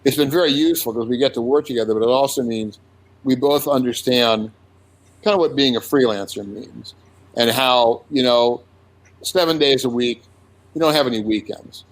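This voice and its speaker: Older man, comic artist interview guest, mid-range voice, reflective and unhurried. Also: medium pitch, low-quality recording. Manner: reminiscing, unhurried, with frequent restarts. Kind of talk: casual conversational interview.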